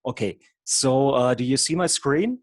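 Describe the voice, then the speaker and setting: A man's voice: medium pitch, measured pace, no room sound, studio quality. A man from Germany with a German accent, a hypnotherapist presenting an online workshop in an explanatory way, with occasional stumbles over words. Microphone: video call.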